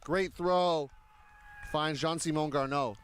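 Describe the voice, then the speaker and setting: A man's voice: on the high side, steady tone, slow pace, fairly bright tone, slight background noise. A man in his thirties giving live play-by-play sports commentary with casual banter. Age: 30s.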